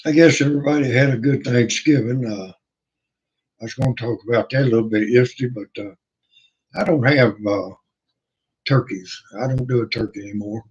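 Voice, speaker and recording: An older man hosting a livestream, chatting casually in a hoarse, rough voice, halting and folksy with many pauses and fillers. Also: medium pitch, darkish tone. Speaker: livestream host. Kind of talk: casual rambling chat. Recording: dull lo-fi sound.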